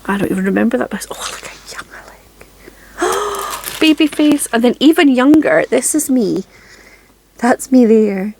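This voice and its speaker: A Scottish woman in her forties, chatting casually to camera from her home craft room in a chatty, self-deprecating way with frequent ums and soft laughs. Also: a little high, very animated, normal speed, creaky. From Scotland. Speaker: craft channel host.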